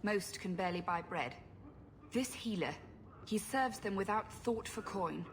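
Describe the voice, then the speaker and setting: A woman's voice: mid-range voice, animated, a little slow. An actress playing a proud, protective refugee woman in a video game, speaking in a stern, curt way. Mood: protective, firm.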